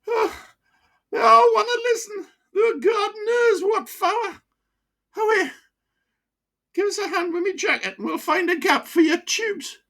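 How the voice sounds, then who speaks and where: A woman's voice: very high voice, a little slow, pauses often, fairly bright tone, pitched above the performer's own voice, exaggerated voice. A woman voicing a breathless old male ex-miner from Sunderland with a broad local accent and blunt gallows humour. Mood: rueful wheezy. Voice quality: breathless, broad dialect, cackling. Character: old wheezing Sunderland ex-miner man, grimly jokey.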